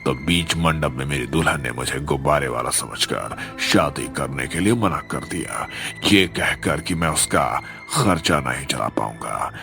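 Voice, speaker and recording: Super low bitter ghost for a horror cartoon, menacing, music behind. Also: male voice, creaky, full character voice. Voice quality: deep, menacing. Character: vengeful male ghost of a poor jilted balloon seller. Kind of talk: Hindi horror cartoon story acting. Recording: music under the voice.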